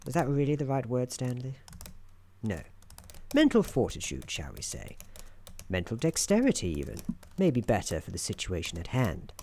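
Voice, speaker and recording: A man narrates an ASMR roleplay softly, like a storyteller with a dry, wry streak. His mid-pitched voice moves up and down expressively. Light typing and clicking sit behind his words. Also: wildly swooping pitch. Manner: dryly wry, deliberate storybook narrator addressing his character. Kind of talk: soft-spoken ASMR roleplay, scripted narration. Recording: keyboard typing and clicking noise. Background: keyboard typing, clicks.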